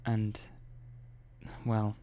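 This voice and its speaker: A man playing a calm, methodical robot engineer in an ASMR roleplay, speaking softly and slowly with hesitant pauses. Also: lowish voice, a little hiss or hum. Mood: confiding. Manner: soft-spoken, slow and hesitant, many pauses and "well"s.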